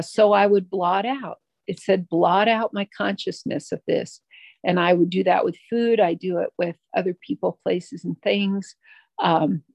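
Woman, middle-aged, recovery meeting share, halting, reflective, gravelly voice, dry sound. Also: mid-range voice, a little mellow, no echo. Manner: halting, reflective, many fillers and restarts. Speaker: twelve-step meeting speaker.

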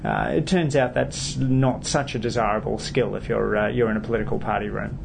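A gravelly-voiced man in his forties answers interview questions, pausing often with hesitant fillers. He speaks in a measured, thoughtful way. A steady low rumble runs under the recording. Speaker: politician.

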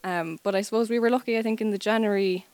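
A woman's voice: mid-range voice, fairly even intonation, fast talker, a little mellow, dry recording. A young Irish woman in her twenties, a student teacher, chatting easily with fillers as a guest on a live radio interview. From Ireland. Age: 20s. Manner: chatty and easygoing, many fillers. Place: school, live radio broadcast.

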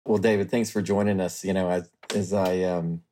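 A man's voice: low voice, steady tone, normal speed, dark tone, slight background noise. A man co-hosting a podcast interview, reminiscing and asking friendly questions. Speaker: co-host, founder. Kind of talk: casual interview.

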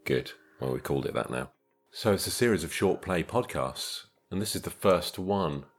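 A man's voice: sits low, expressive, moderate pace, soft background music. A man hosting a podcast in casual chat, with a dry, joking, slightly hesitant manner. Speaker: podcast host.

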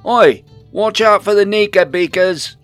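A man voicing a loud, hearty male shopkeeper in a fantasy storybook reading. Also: mid-range voice, moderate pace, soft background music, pitched above the performer's own voice, natural voice. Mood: shouting warning. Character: gruff, booming male shopkeeper of stews and sauces.

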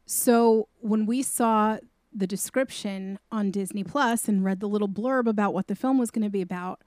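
A woman's voice: medium pitch, moderate pace, a little mellow, dry recording. Direct woman chatting, dry sound. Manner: direct, emphatic, candid. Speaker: podcast co-host. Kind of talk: casual movie review chat.

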